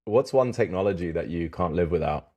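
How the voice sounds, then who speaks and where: A male podcast host with a very deep voice. He chats in a friendly, easygoing way, with a good number of "um"s and "like"s between his questions. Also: lively intonation, brisk, darkish tone, muffled recording. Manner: friendly, chatty, with filler words. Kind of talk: casual interview hosting.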